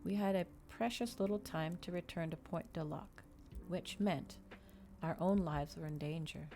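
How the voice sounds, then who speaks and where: Low-voiced woman reading softly, ASMR style. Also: normal speed, soft background music. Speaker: streamer reading a novel aloud.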